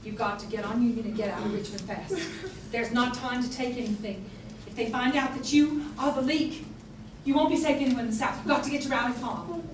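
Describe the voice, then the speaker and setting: A woman's voice: on the high side, fairly even intonation, brisk, close to an everyday voice. A woman playing a commanding abolitionist spymaster in a stage play, giving orders in an urgent, bossy, emphatic manner. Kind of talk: theatrical stage acting. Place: theatre stage, miked from a distance. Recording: roomy distant stage, faint noise.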